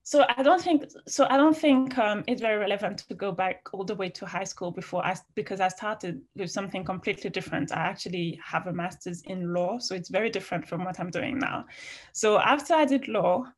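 A woman's voice: mid-range voice, fairly quick. A woman with a slight French accent mixed with British, answering interview questions in a chatty, frank way with many fillers. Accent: French, with some British. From France. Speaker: interview guest, fashion writer.

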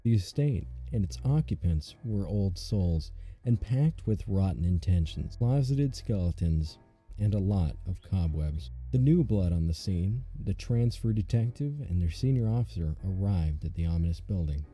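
Male narrator, ominous horror-comedy storytelling; low, husky, very dark tone, faint music behind. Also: animated, moderate pace.

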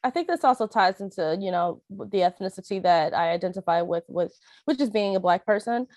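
Soft-spoken woman with dry close sound. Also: mid-range voice, a little fast, no room sound. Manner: hesitant, many fillers and restarts. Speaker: interviewee. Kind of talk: reflective personal account.

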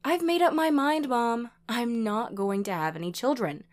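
A woman's voice: fairly high pitch, a little slow, voice pushed up, natural voice. A woman voicing a defiant, resolute daughter in an audio story, firm and keeping emotion in check. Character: defiant, resolute daughter refusing to have children.

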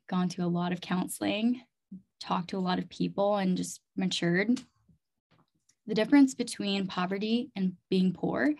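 A woman's voice: medium pitch, creaky, a little mellow. Earnest woman telling her personal story. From Chiang Mai, Thailand and North Dakota. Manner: earnest, emphatic, uses "like" and "literally" often. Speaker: interview guest, community development leader and leadership coach.

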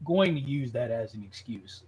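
A man's voice: mid-range voice, expressive, normal speed, a little mellow, slightly rough, low-quality recording. A man co-hosting a sports podcast, arguing casually and insistently in slangy language and repeating himself. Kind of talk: casual, argumentative sports chat.

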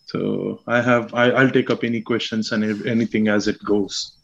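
A man's voice: fairly low pitch, little pitch movement, normal speed, vocal fry, a bit bright. Hesitant South Indian man, muffled. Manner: hesitant, many fillers and restarts. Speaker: guest scientist. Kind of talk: explaining science in a casual chat.

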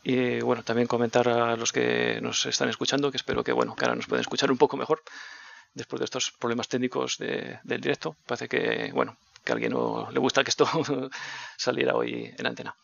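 A man hosting a live radio talk show, speaking in an unhurried, hesitant way. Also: medium pitch, little pitch movement, gravelly, a bit bright. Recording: dull lo-fi sound.

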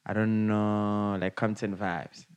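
Young South African man, relaxed slangy guest, lowish voice. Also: slow pace, smooth, muffled recording. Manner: laid-back, slangy, rambling with fillers. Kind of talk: casual interview chat.

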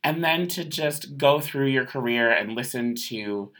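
Bright-voiced, gushing woman host. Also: extremely low pitch, a little slow. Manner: gushing, excitable fan. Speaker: podcast host. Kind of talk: casual interview.

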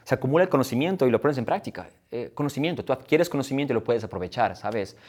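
A young man in his twenties, a guest talking quickly and agreeably, often adding "¿sabes?". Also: medium pitch, lively intonation, a little mellow. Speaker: guest, fitness YouTuber. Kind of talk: conversational interview.

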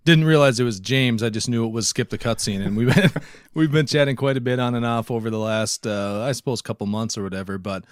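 Male co-host, mid-pitched, very dry recording, easygoing casual chat. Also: fairly quick, smooth, no echo. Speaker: podcast co-host.

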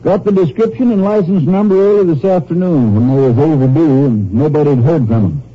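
Folksy small-town sheriff, muffled sound. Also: male voice, fairly high pitch, brisk, husky. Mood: folksy, puzzled. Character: helpful, plain-spoken small-town Texas sheriff. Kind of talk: 1950s radio drama acting. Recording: muffled, noisy old radio recording.

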